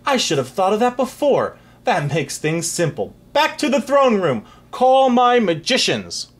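A man voicing an excitable, fussy king who cries out his orders in a shrill, exclaiming voice. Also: takes it slowly, exaggerated voice. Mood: relieved, commanding.

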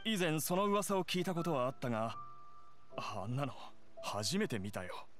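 Lively male hunter voiced by an actor. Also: medium pitch, moderate pace, over music, close to an everyday voice. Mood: weary, grateful. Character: injured, grateful male hunter, game villager. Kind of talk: video game dialogue.

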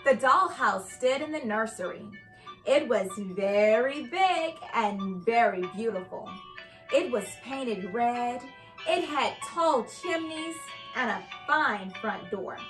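A young adult woman reading a children's story aloud with expressive, carefully enunciated storytelling delivery. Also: fairly high pitch, slow, soft background music, poor audio. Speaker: host and reader of children's read-aloud show. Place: indoor living room by a fireplace.

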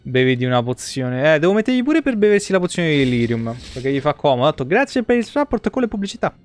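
A man's voice: fairly high pitch, sing-song, quick pace, clear, resonant tone. A man giving casual, foul-mouthed and jokey live game commentary in slangy speech. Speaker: video game streamer.

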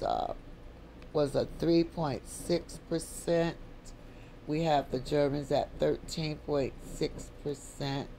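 An American speaker delivers an unscripted lecture as a voice-over on a screen recording. The pace is unhurried and the pitch sits in the middle. Key points come back again and again, with a faint hum of ambience underneath. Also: a little mellow, rough recording. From United States. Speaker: video blogger. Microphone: voice-over, not recorded in the pictured place.

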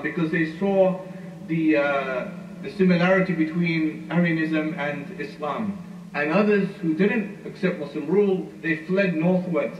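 A man giving a history lecture, telling the story at an unhurried storyteller's pace with frequent "uh" fillers. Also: a little high. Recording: muffled, roomy, far from mic, noisy.

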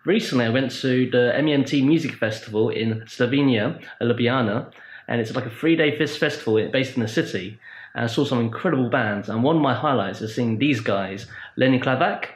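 A man in his thirties hosting a travel vlog, introducing a video in a halting, filler-heavy way from a small room. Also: medium pitch, roomy sound, low-quality recording. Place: small bright room indoors.

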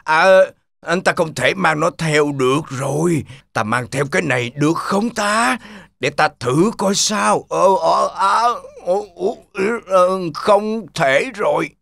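A man voicing a greedy, scheming, grumbling wolf in a children's cartoon fairy tale, with whiny, drawn-out muttering. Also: fairly high pitch, vocal fry, a bit bright, no echo, heavily acted. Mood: scheming, flustered.

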